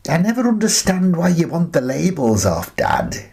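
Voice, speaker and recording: Man putting on a high-pitched fussing daughter. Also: huge pitch swings, normal speed, gravelly, darkish tone, breathy edge, full character voice. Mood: teasing, exasperated. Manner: fussing, pleading, affectionately scolding. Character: exasperated, caring grown-up daughter, quoted by her father.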